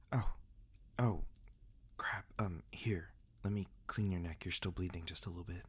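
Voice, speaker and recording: A man acting as a reclusive male vampire with a low-pitched voice, speaking in a soft, halting murmur. He is tender and protective, in the close, intimate manner of an ASMR fantasy roleplay. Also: husky, heavily acted. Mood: tender, soothing.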